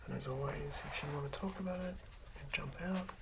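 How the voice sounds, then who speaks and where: Hushed asmr host whispering slow and halting, creaky voice, noisy lo-fi recording with little clicks. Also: medium pitch. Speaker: host of tabletop roleplaying ASMR videos. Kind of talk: whispered ASMR, reading and commentary. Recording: lo-fi whisper with clear background noise.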